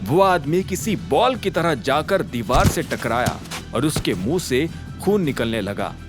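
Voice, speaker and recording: A man giving scripted, suspenseful dramatic narration of a story in an expressive manner. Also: on the high side, unhurried. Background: background music.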